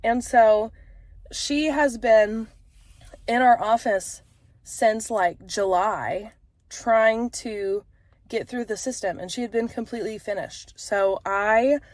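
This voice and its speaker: A woman's voice: fairly high pitch, slow pace, no echo. A woman in her thirties with a stuffy, congested voice, talking frankly and casually to camera with frequent fillers. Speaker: vlogger and author.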